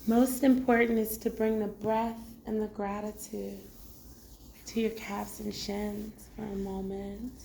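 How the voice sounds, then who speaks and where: A woman leading a guided body scan meditation as a teacher, giving gentle, unhurried instructions with long pauses. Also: medium pitch, level tone, creaky, a little hiss or hum. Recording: roomy echo. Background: music.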